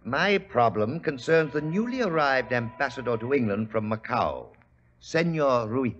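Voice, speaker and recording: An actor playing a suave, formal, grave spice trader in a 1940s radio drama. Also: male voice, mid-range voice, sing-song, a little slow, fairly bright tone. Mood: grave, ominous. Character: suave, grave foreign-based spice trader, hard of hearing. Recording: muffled old radio recording, faint hiss.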